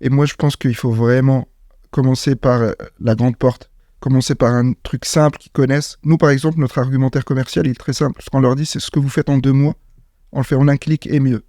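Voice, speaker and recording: A man's voice: mid-range voice, fairly even intonation, fairly quick, dark tone, no room sound, clean studio sound. A man from Morocco, a startup founder, speaking in a casual interview, hesitant with many fillers and restarts.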